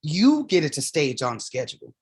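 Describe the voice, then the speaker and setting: A woman acting a weary mother in a stage drama reading. She speaks bluntly and with authority, proud even when drained. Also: low-pitched, normal speed, husky, full character voice. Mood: firm, commanding. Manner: blunt, commanding. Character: weary, proud mother, playwright at odds with her demons.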